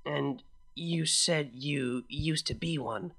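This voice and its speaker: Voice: medium pitch, gravelly, a bit bright, heavily acted. A reader voicing a tender, devoted unicorn mare. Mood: puzzled. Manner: sincere, affectionate, deliberate delivery. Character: Vinyl, tender, devoted, casual female unicorn DJ pony. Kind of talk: dramatic fan fiction reading.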